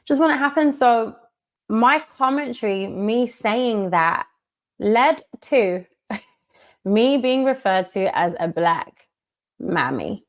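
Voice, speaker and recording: A woman from the United Kingdom giving commentary in a measured, emphatic way, repeating her key phrases. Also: on the high side, lively intonation. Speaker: commentator in a played video. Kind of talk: monologue commentary.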